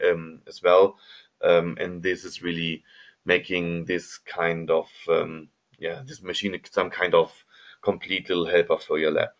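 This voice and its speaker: A very low-voiced man with a German accent walks through his slides in a webinar, pausing often on ums and restarting his sentences. The audio is a little dull and lo-fi, like a webinar recording. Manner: hesitant, many ums and restarts. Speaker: product specialist presenting a webinar. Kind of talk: webinar presentation over slides.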